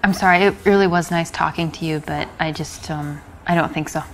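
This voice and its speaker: A woman's voice: sits low, steady tone, brisk, a little hiss or hum, close to an everyday voice. An actress in her twenties playing a polite, reserved American woman in a café. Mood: calm. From United States. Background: music.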